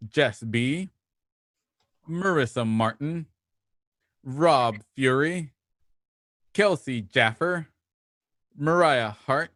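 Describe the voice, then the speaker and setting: A man's voice: mid-range voice, a bit bright, dry recording, studio quality. A man hosting a casual live show, talkative and playful, reading promos and dropping in rambling asides. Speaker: host.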